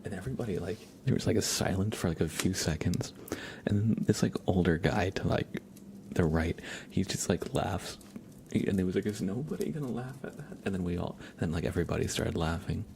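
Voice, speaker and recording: A man hosting an ASMR livestream in a hushed, unhurried, rambling way, speaking softly and casually close to the microphone. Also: sits low, gravelly, slight background noise. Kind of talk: live ASMR, soft whispering and quiet casual chat.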